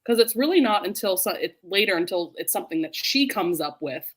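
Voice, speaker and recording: A woman hosting a book discussion in a casual, animated way, full of "like" and quick to laugh. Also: medium pitch. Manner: animated, laughs readily while talking; informal, heavy use of "like". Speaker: host of a book readalong live show. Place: remote group video call.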